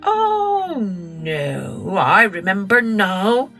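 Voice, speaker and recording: A woman voicing an aggrieved male duck who speaks loudly and emphatically. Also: medium pitch, very animated, very slow, slightly rough, soft background music, full character voice. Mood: eager. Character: indignant male duck, middle brother.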